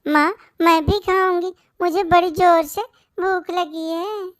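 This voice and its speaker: A woman's voice: extremely high pitch, steady tone, slow, a bit bright, no room sound, studio quality, exaggerated voice. A woman voicing a pleading, mistreated little bird girl in a moral-story cartoon. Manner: pleading, plaintive.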